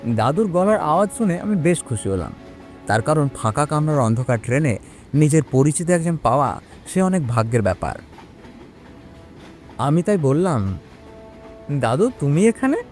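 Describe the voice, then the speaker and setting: A man playing a young man who recounts his own eerie experience in an expressive, suspenseful audio horror drama. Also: a little high, normal speed, long pauses, faint music behind. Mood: suspenseful, earnest. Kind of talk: dramatic first-person audio horror storytelling.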